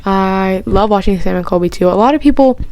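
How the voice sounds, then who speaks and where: Gushing, excitable young-sounding woman. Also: medium pitch, brisk, smooth, no echo. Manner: gushing and excitable, rambling with many restarts; chatty, heavy use of "like". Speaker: vlogger answering personal questions. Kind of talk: casual chat.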